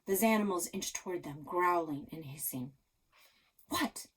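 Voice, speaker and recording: A woman reading a children's story aloud as a dramatic narrator, expressive and suspenseful. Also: on the low side, normal speed, breathy edge, low-quality recording.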